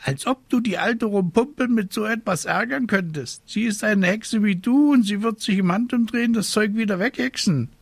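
A man voicing a fussy, scolding talking raven in a croaky, indignant storybook performance. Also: sits high, moderate pace, few pauses, no echo, voice pushed up, full character voice. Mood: admonishing, sceptical. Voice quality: croaky, squawking. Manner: indignant, nagging.

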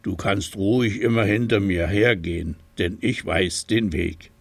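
A man's voice: fairly low pitch, measured pace, creaky, dry recording. A man voicing a kind, dependable little bear in a children's radio story. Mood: steady.

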